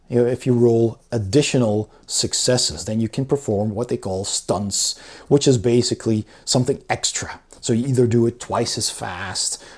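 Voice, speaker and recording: A man's voice: mid-range voice, darkish tone. A man giving a casual, conversational review, explaining things step by step as a host. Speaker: board game reviewer and channel host.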